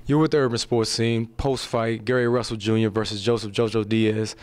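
A man hosting a post-fight interview at an indoor arena event, asking chatty, brisk questions into a handheld mic. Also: fairly low pitch, level tone, a little mellow, slight background noise. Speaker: sports interviewer.

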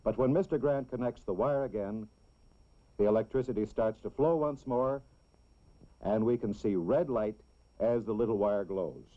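American guy doing 60s school film narration, explains stuff simply for kids, muffled lo-fi old soundtrack. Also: lowish voice. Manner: simple, deliberate explaining for children. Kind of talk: scripted 1960s educational film narration.

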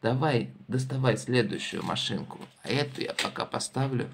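Gravelly-voiced man chatting playfully. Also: medium pitch, animated, normal speed. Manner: playful, prompting with questions. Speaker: host of a children's toy car show. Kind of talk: playful chat with a child. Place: indoors at a wooden table. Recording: faint background noise.

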